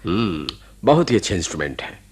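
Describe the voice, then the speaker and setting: An actor playing a genial, reassuring, avuncular hospital doctor in a radio drama, with a jovial, expressive manner. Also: male voice, low-pitched, brisk, slightly rough, full character voice. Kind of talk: Hindi radio drama acting.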